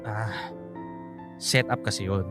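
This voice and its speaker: A man's voice: lowish voice, steady tone, slow pace. An actor playing a cocky, playful young Filipino man in a Taglish radio drama, teasing and sheepishly hesitant. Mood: explaining, teasing. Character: Eros, cocky, playful young male friend. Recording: faint music behind the voice.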